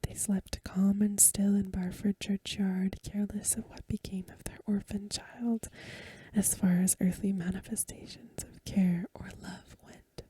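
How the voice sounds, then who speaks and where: A woman reading a story aloud in a soft, hushed, breathy ASMR whisper with gentle, measured phrasing. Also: mid-range voice, little pitch movement. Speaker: ASMR reader.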